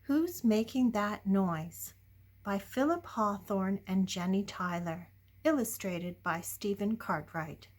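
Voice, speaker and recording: Older woman, children's story reader, slightly husky, unhurried, playful, dramatic, questioning young listeners. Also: medium pitch, expressive. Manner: dramatic, shifting between hushed whispers and loud exclamations. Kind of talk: animated picture-book read-aloud.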